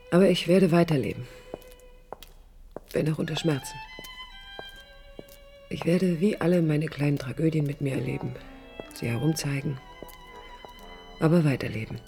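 An actress playing a proud, vulnerable yet resolute woman architect in a radio play, speaking in a reflective, deliberate, emphatic way with a breathy voice. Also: low voice, dark tone, full character voice. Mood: pained, resolute. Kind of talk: radio play acting, introspective first-person monologue.

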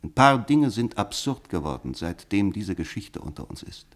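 A radical philosopher in a radio play, with a deep voice. He lectures with fervent, emphatic conviction and builds his points rhetorically, resolute and didactic. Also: big pitch swings, normal speed. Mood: insistent, fervent. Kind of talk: German radio play acting.

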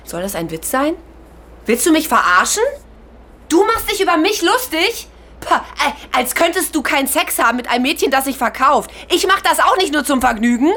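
An actress playing a desperate young mother in a radio play, confrontational and halting as she challenges someone. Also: sits high, big pitch swings, bright, forceful tone, exaggerated voice. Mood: indignant. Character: desperate, combative young mother who sells herself. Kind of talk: German radio play acting.

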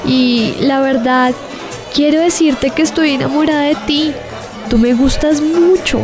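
A woman's voice: sits high, unhurried, crowd noise. A woman playing a lovestruck, dramatic teenage schoolgirl in a radio drama, with a Colombian accent and an earnest, emotive delivery. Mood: shy, lovestruck. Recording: music under the voice.

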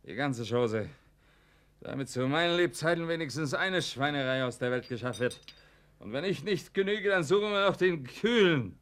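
An actor playing a bitter, broken alcoholic German husband in a radio play, colloquial and given to blustering and rambling. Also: male voice, fairly high pitch, animated, normal speed, a bit bright. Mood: bitter, determined.

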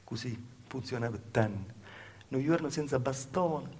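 A man's voice: mid-range voice, lively intonation, a little slow, a little mellow, husky. A male stage actor playing a humble, naive peasant woman with a Calabrian accent, telling her hard life in a plaintive dialect monologue that circles back over its own words. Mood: resigned, sorrowful. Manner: plaintive storytelling with insistent repetitions. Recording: live stage recording with faint noise.

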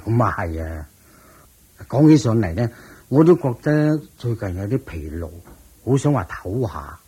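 Husky, low-voiced rich old master. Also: male voice, very animated, measured pace, warm tone, exaggerated voice. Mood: worried, weary. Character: wealthy self-satisfied old master, merchant and landlord. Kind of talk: Cantonese radio drama acting.

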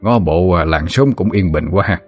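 A man voicing a gruff but indulgent old grandfather who grumbles fondly in a dramatized story. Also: low-pitched, normal speed, soft background music. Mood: calm.

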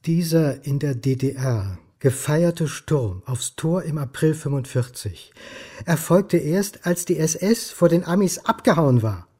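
An embattled academic in a radio crime drama. The voice is dark, husky and closely dry, and he speaks in terse, clipped phrases, stubborn and suspicious. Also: a little high, expressive, unhurried, no room sound. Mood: insistent. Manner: terse, clipped sentences, insistent questioning. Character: embattled male history lecturer, brooding, stubborn and suspicious. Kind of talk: naturalistic radio drama with interior monologue.

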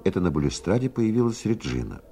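A man narrating a radio play in a literary, measured, dramatic style. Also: low voice, very animated, dark tone, breathy edge. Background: music.

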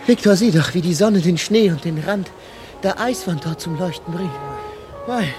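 An actor playing a dreamy, excitable young poet in a German radio play, breathless with rapturous exclamations. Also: male voice, high-pitched, normal speed, loud music behind the voice, close to an everyday voice. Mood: awestruck.

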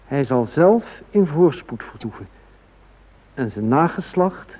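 A man acting a devout psalm reader in a radio play, reciting in a measured, reverent, declamatory way. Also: a little high, expressive. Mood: solemn, reverent. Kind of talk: solemn scripture recitation in radio drama. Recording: thin, phone-like old radio recording.

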